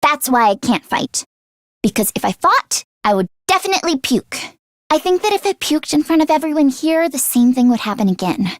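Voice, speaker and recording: High pitched fretful teen girl for a video game, sweet n easily flustered, dry close sound. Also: no room sound. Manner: expressive, fretful, earnest. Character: anxious teenage girl mechanic, self-deprecating, sweet, easily flustered. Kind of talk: video game character voice acting.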